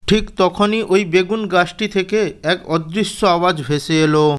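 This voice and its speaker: A man's voice: sits high, moderate pace, smooth. A man narrating a scripted cartoon fairy tale as its storyteller.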